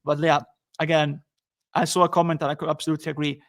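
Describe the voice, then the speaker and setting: Flat-toned man hosting a podcast. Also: fairly high pitch. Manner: halting, many restarts, upbeat. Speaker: podcast host. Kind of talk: casual football podcast chat.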